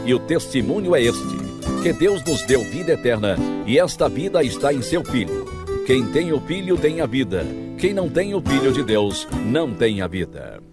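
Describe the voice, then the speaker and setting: Brazilian radio announcer man over loud guitar music. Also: mid-range voice, big pitch swings, moderate pace. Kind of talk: scripted narration and announcements.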